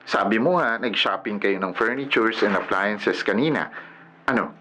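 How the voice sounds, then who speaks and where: A man's voice: fairly low pitch, normal speed, bright, forceful tone, slight background noise. An actor with a Filipino accent playing a nosy young male friend who teases and mocks playfully in a radio drama. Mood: playful, mocking. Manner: mocking, playful, exclaiming.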